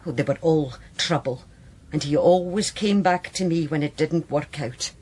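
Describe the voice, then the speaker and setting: An actress playing a brittle, anguished sister in a radio drama, with a Scottish accent and a halting, agitated, bitter delivery. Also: female voice, sits low, a little mellow, a little hiss or hum, natural voice. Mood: distressed, bitter. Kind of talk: naturalistic radio drama acting.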